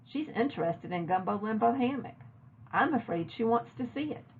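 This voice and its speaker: A woman's voice: on the high side, lively intonation, normal speed, slightly rough, pitched above the performer's own voice. A woman voicing an earnest, emotional young girl who exclaims and pleads, in a storybook read-aloud. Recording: narrow, phone-like lo-fi audio.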